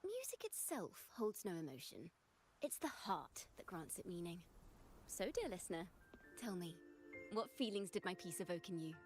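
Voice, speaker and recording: A woman's voice: fairly low pitch, very animated, normal speed, faint music behind. An actress playing a graceful, contemplative young female musician in a video game, with a poetic, formal way of speaking. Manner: poetic, formal, gently addressing the listener.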